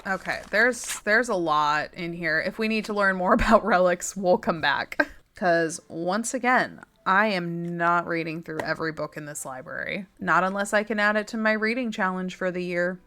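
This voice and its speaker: A young woman with a slightly raspy voice, reading game text aloud and joking about it as she plays. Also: lowish voice, normal speed, pushed and bright, a little hiss or hum. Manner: chatty and wry, laughs often, reads text then jokes about it. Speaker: gaming channel host.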